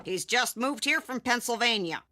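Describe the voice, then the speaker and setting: Sardonic schoolteacher with swooping, highish voice. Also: female voice, lively intonation, normal speed, sharp tone, a little hiss or hum. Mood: dry, controlled. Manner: dry, mock-polite, theatrical delivery. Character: sardonic, weary female schoolteacher, theatrically exasperated. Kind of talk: animated cartoon acting. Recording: music behind the voice.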